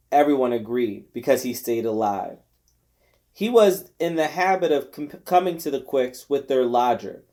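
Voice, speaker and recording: A man narrating a novel aloud in an expressive storytelling style with deliberate phrasing. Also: medium pitch. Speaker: volunteer reader of a novel. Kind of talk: scripted audiobook narration.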